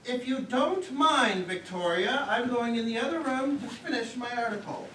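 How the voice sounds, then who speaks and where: A man's voice: very high-pitched, moderate pace, pushed and bright. Man acting an exasperated husband, distant and echoey. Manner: testy, emphatic, declamatory. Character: irritable exasperated husband trying to read his paper. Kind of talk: live stage comedy acting. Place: small theater stage. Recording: echoey theater from far off, noisy.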